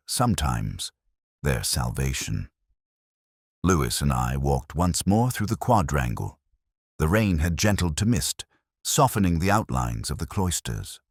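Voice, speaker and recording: A man playing a melancholy, world-weary English police inspector, narrating his own story in a measured, literary, reflective way. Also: a bass voice, no echo, studio quality, full character voice. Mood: quietly sceptical, then melancholy, solitary. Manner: measured, brooding, literary delivery. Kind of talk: scripted first-person narration, literary detective drama.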